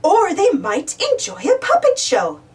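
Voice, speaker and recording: A woman voicing a welcoming librarian in a storybook, warm and instructive. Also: very high-pitched, huge pitch swings, unhurried, distant mic, voice pushed up, full character voice.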